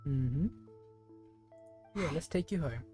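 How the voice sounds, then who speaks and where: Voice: medium pitch, lively intonation, takes it slowly, dark tone. A game streamer giving casual live commentary, thinking aloud and speculating in a musing way. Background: game music.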